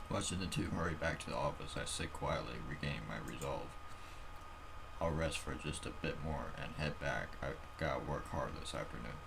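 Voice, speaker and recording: A man narrates a story in a very low voice with a creaky, gravelly edge. He reads from a script and stumbles now and then. There is noticeable noise in the recording. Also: moderate pace. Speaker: player reading a visual novel aloud. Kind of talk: scripted narration, reading aloud.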